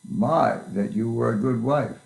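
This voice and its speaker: A man's voice: mid-range voice, unhurried, gravelly, darkish tone, roomy sound. A man reading the part of a mild, grieving poor clerk and father in a Victorian story, earnest and affectionate. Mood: earnest, grateful. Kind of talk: dramatic reading of a classic story.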